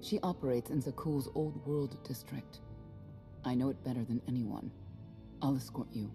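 Very low, creaky-voiced proud warrior mother. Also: normal speed. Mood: matter-of-fact. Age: middle-aged. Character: regretful, proud warrior mother, former elite guard. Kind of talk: dramatic video game acting. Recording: music under the dialogue.

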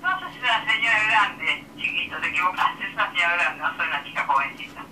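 A woman's voice: fairly low pitch, lively intonation, fast talker, bright, forceful tone, far from the mic. A woman in her sixties with an Argentine accent, chatting casually over a phone speaker. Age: 60s. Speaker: prank call recipient. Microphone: phone speaker picked up by camera. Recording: tinny phone speaker, echoey, faint noise.

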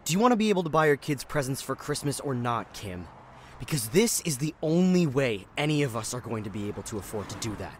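An actor playing a confident, reassuring ringleader of amateur thieves in an audio drama. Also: male voice, medium pitch, big pitch swings, a little fast, slight background noise, natural voice. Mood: insistent. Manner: reassuring, persuasive.

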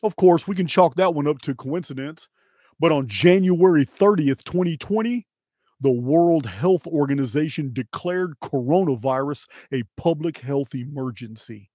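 A middle-aged man with an American accent presenting solo, emphatically and deliberately, in short phrases with pauses, close to the microphone. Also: a little high, mellow, no echo. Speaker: video host and presenter. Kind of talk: solo presentation to camera, dramatic commentary. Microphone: close microphone on boom arm.

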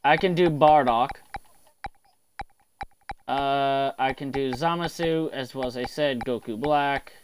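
A man's voice: mid-range voice, slow, pauses often. A man talking casually on a livestream as an amateur voice actor, with faint noise and clicks behind him.

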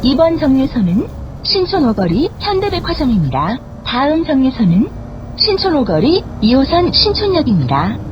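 A woman reading scripted bus stop announcements in a formal, measured, carefully enunciated way. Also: sits high, very animated. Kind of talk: scripted public transit announcement. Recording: dull, noisy bus speaker capture. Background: bus engine and cabin noise.

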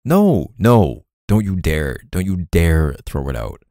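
A man playing a gentle, apologetic, doting boyfriend in an intimate ASMR roleplay, speaking tenderly and haltingly close to the mic. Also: low-pitched, very animated, dry recording, exaggerated voice. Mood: pleading, earnest. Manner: tender, halting, sighing between phrases. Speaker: boyfriend roleplay audio performer.

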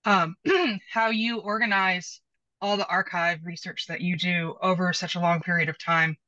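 A woman from the audience asks a question on a video call, casual and candid. Her voice is bright and tense. The audio is dry and a bit lo-fi. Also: fairly low pitch, fairly even intonation, moderate pace, dry recording. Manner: informal, candid. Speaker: audience member asking a question.

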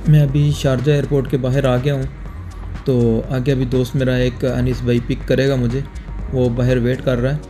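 A young man from Pakistan narrating a casual travel vlog at night outside an airport, talking calmly to viewers through a phone held at arm's length. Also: medium pitch, normal speed, over music. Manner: calm, explanatory, talks to viewers directly. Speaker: travel vlogger. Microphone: phone camera held at arm's length.

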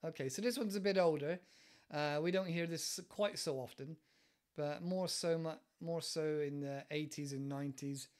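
Man, English accent, forties, slightly high pitch, relaxed rambling explanations, home headset recording. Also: moderate pace. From England. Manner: relaxed, rambling, many fillers and restarts. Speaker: English teacher podcast host. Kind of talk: casual explanatory monologue. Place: living room at home. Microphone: headset with desk mic, webcam.

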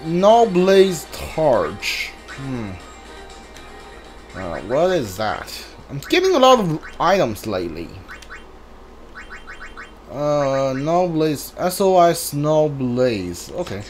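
A man's voice: fairly high pitch, huge pitch swings, takes it slowly, long pauses, soft background music. A man giving casual let's play commentary, muttering reactions and reading item names aloud as he plays. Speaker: video game player streaming a playthrough.

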